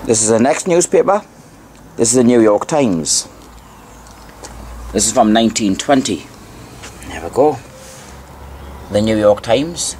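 Low-voiced man reading aloud, background noise. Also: lively intonation, darkish tone. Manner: deliberate, repeats key phrases. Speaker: video narrator. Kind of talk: reading old newspaper articles aloud with plain commentary.

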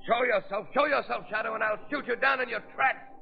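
An actor playing a ruthless, boastful submarine pirate in a theatrical 1930s radio style. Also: male voice, very high voice, a little fast, creaky, full character voice. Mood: alarmed, furious. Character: male submarine pirate villain, gloating, ruthless, increasingly frantic. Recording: muffled old 1930s radio recording.

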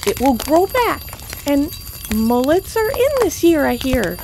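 A woman's voice: sits high, big pitch swings, a little slow, vocal fry, noisy background, full character voice. A woman playing an eccentric, reckless science teacher in a sitcom, theatrical and exaggerated. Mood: dazed, reassuring. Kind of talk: sitcom acting.

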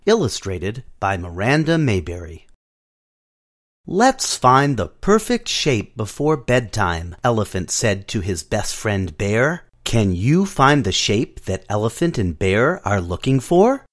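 A man narrating a children's storybook app, reading the story and gently prompting a child to tap and find shapes. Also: lowish voice, very animated. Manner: slow, sing-song, coaxing prompts to a child. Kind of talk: children's read-along narration.

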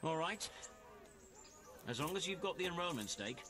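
An actor playing an officious medieval tournament official and herald in a video game, formal and declamatory. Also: male voice, fairly high pitch, expressive, normal speed, natural voice.

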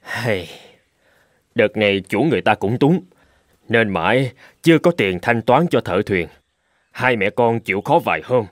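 A man voicing a poor, hard-working, gentle father in a folk-tale cartoon. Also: on the low side, lively intonation, measured pace, long pauses, no echo, clean studio sound. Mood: kindly. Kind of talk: cartoon dubbing.

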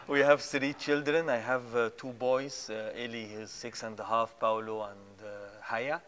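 A man's voice: medium pitch, a little slow, a bit bright, a little hiss or hum. A man, an interview guest telling his story with many hesitant fillers. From Egypt. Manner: hesitant, many "uh" fillers, non-native phrasing. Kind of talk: testimony interview. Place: small studio set with plants.

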